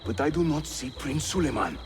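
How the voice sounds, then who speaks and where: Man playing a lively, wry Ottoman assassin. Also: on the high side, fairly quick, lightly acted. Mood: playful, alert. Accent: Turkish. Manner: playful, wry, theatrical. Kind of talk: dramatic video game acting. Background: orchestral score.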